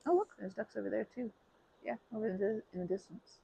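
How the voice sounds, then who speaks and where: Soft, husky woman rambling in ASMR. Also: medium pitch, quick pace, lo-fi sound. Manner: rambling, hesitant, many fillers and restarts; soft-spoken, drops to whispers. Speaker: ASMR roleplay performer. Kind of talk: ASMR roleplay chat.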